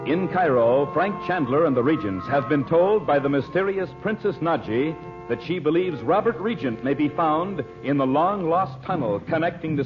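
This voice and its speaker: A male American announcer in vintage 1940s radio style, with a very lively, swinging delivery. Music sits behind his voice, and the old broadcast sound is dull and muffled. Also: fairly high pitch. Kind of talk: 1940s radio announcing, scripted narration and sponsor pitch.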